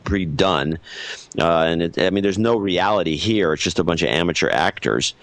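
A man co-hosting a podcast, talking in an opinionated, animated way in casual chat. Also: low voice, moderate pace, no room sound. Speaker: podcast co-host.

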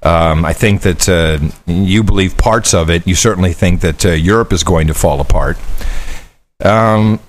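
A man's voice: sits low, moderate pace. A man hosting a podcast, chatting casually and telling stories in a rambling way. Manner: rambling, animated storytelling. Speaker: podcast host. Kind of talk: casual chat.

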